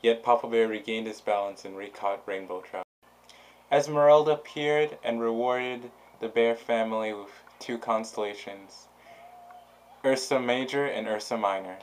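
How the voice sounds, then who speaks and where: A man's voice: mid-range voice, normal speed, rough recording. A man narrating a vlog and telling stories to his viewers. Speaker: vlogger.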